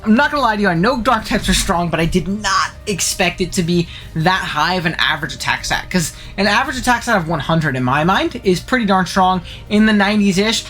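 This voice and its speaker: Fast-talking sniffly man with a cold. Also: high voice, animated, bright, forceful tone, faint music behind. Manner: fast, chatty, enthusiastic gamer talk with fillers. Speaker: channel host. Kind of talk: casual analysis commentary.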